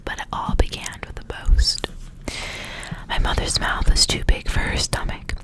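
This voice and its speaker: A woman speaks entirely in a whisper, with no full voice behind her words. She is an ASMR host reading stories aloud in a hushed delivery, mixing the narration with casual, conversational asides. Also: unhurried. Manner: whispers throughout, unvoiced and hushed. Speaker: ASMR reader, host of the channel.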